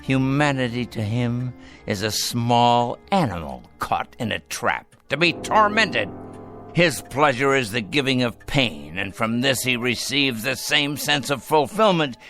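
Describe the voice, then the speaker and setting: Bitter dying patriarch: elderly man, sardonic and theatrical, widely swinging intonation, forceful bright tone, radio play. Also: mid-range voice, expressive, soft background music. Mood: bitter contempt. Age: older. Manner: theatrical, scornful, deliberate speech. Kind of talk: dramatic radio play acting.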